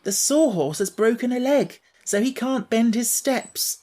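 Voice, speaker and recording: A woman's voice: a little high, a little slow, warm tone, voice pushed up. Woman doing an eager young boy's voice. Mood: earnest.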